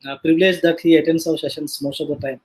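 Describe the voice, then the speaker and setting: A man hosting a live online panel, casually introducing guests in a halting way with many filler sounds. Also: a little high, level tone, a little mellow, husky, poor audio. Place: online live video session.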